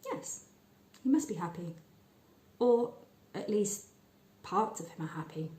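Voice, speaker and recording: A woman voicing a thoughtful, matter-of-fact little girl in a story reading, speaking quietly and haltingly. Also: mid-range voice, expressive, reverberant, lightly acted. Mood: reassured.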